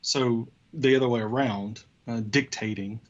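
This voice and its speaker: Male co-host on mildly lo-fi audio, medium pitch, conversational, feeling out his sentences as he talks. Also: steady tone. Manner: halting, rephrasing as he goes. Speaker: show co-host. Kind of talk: casual conversation.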